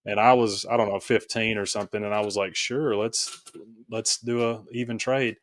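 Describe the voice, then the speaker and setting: A man telling his personal story reflectively as an interview guest, in a halting, thoughtful way, often correcting himself. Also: fairly low pitch, fairly even intonation. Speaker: podcast guest, author.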